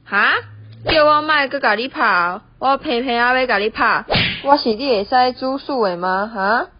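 Radio play: scolding middle-aged Taiwanese mother-in-law, highish voice, nagging , played by a young woman, dull lo-fi sound. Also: normal speed, a little hiss or hum, natural voice. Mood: threatening, scornful. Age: young adult. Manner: nagging, switches between Hokkien and Mandarin. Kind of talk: amateur radio play acting. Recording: dull, lo-fi, roomy home recording.

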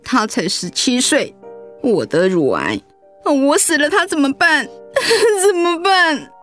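Emotional, high-voiced Taiwanese wife. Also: female voice, sing-song, takes it slowly, fairly bright tone, faint music behind, full character voice. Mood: tearful, anxious. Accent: Taiwanese Mandarin. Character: retired grandmother, affectionate, emotional breast cancer survivor. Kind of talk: radio drama acting.

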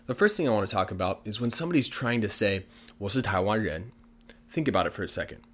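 A man's voice: on the low side, animated, quick pace, fairly bright tone. A man who is a native English speaker, patiently explaining language points in a casual lesson with lots of examples. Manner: patient, plain-spoken explaining with many examples. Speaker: English teacher.

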